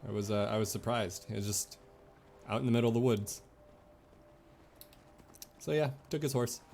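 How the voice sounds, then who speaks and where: A man with a fairly low voice talks his way through a video game as a streamer. His commentary is casual and playful with some swearing. There is clear noise in the background. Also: moderate pace, darkish tone.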